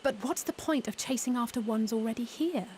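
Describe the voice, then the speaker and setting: An actress playing an inquisitive, composed companion in a video game, asking questions in scripted dialogue. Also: female voice, fairly high pitch, a little fast, a little mellow, slight background noise, lightly acted. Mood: curious. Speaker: game character voice actor.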